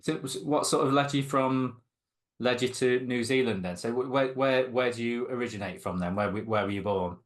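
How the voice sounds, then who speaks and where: A man's voice: mid-range voice, moderate pace. A man hosting a podcast interview, asking questions hesitantly and stumbling over his words. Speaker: podcast host. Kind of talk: casual interview chat.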